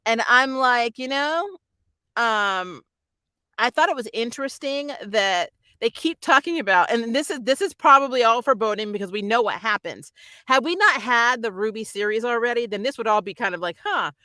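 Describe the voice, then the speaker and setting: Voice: mid-range voice, lively intonation, normal speed, bright, forceful tone, clean tone, dry recording. A reviewer chatting casually and emphatically, like a fan talking through an episode as host of a channel. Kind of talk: casual spoiler review chat.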